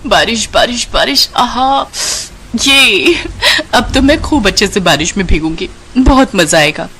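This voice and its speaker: A woman's voice: mid-range voice, lively intonation, measured pace, fairly bright tone, a little hiss or hum, voice pushed up. A woman voicing a girlish, eager and dutiful young bride in a story. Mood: excited. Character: cheerful, girlish young bride who loves rain.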